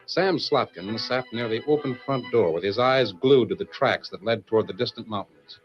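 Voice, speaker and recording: A man's voice: medium pitch, moderate pace. A man giving suspenseful, declamatory scripted narration in the style of an old-time radio serial. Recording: dull vintage radio, music behind.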